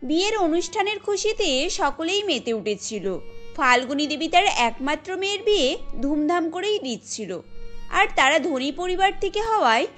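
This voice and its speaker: High-pitched woman cartoon storyteller. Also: sing-song, moderate pace, a bit bright, faint music behind. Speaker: narrator. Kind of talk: scripted cartoon story narration.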